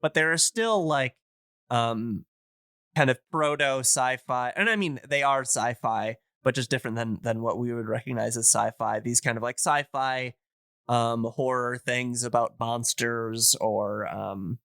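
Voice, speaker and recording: Guy on a podcast explaining stuff kinda haltingly, keeps wandering off, clear crisp voice, no room sound. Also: mid-range voice, sharp tone, dry recording, clean studio sound. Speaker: podcast co-host explaining radio history. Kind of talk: casual podcast discussion.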